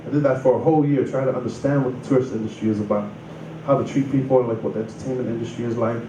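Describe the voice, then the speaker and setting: A man's voice: mid-range voice, fairly even intonation, racing. A man in his thirties, from Samoa, casually telling his life story in a loose, rambling way full of "you know" and "like". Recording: roomy distant mic with noise.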